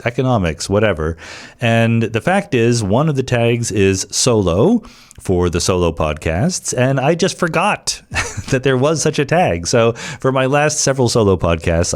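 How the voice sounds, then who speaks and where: A man hosting a question-and-answer podcast, explaining informally in a conversational way with rhetorical questions and asides. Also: fairly low pitch.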